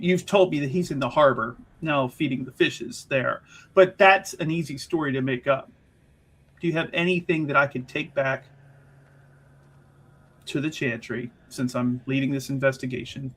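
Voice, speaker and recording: Casting: male player as probing female investigator, coy and insinuating, raised pitch, husky, fantasy roleplay. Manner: teasing, insinuating, theatrically polite. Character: sly, probing female investigator, coolly flirtatious and teasing.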